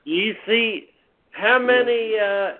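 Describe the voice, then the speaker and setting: A man in his fifties makes slow, joking wisecracks in casual chat. His voice is a touch high. The sound is narrow and thin, like a phone line. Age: 50s.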